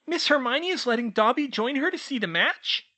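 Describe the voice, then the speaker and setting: A narrator voicing an eager male house-elf with a squeaky voice who talks about himself in the third person. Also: lively intonation, moderate pace, pushed and bright. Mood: amazed.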